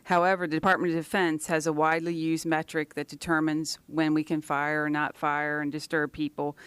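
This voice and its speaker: A woman's voice: low-pitched, little pitch movement, a little fast, a bit bright, clear, resonant tone, no echo. A woman answering interview questions as an environmental scientist, in a matter-of-fact way with short, clipped phrases.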